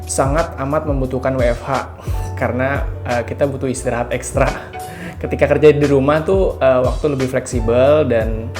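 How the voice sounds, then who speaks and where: A man in his twenties who works as a graphic designer, giving a relaxed, slangy opinion in a casual interview. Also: mid-range voice, moderate pace, music in the background. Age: 20s.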